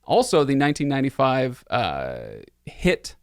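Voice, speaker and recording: Guy hosting a podcast, casual and jokey, tongue in cheek, mid pitch, super dry sound. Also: huge pitch swings, normal speed, clear, resonant tone, no room sound. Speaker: podcast host.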